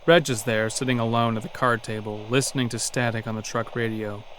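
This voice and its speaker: A man's voice: medium pitch, fairly even intonation, brisk, a bit bright, a little hiss or hum. A man narrating a scripted first-person horror story as an uneasy logging worker.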